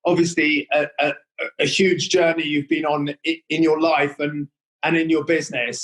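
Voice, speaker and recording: A man's voice: on the high side, poor audio. A man hosting an interview, rambling with many fillers and restarts. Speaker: interview host. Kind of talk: hesitant conversational interview.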